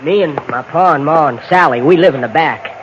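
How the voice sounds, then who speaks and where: An actor playing a pleasant, plain-spoken young store lad in a radio Western, with a folksy rural American accent. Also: male voice, on the high side, brisk, a bit bright, close to an everyday voice. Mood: friendly, hesitant. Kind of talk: 1950s radio Western acting. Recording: dull old radio recording, faint noise.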